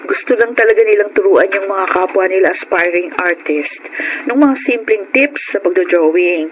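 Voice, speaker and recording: A woman's voice: medium pitch, moderate pace, highs cut off, lightly acted. An actress playing a supportive young working woman, a protective Filipino older sister, in a radio drama. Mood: pleading, firm. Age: young adult. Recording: noisy radio drama track.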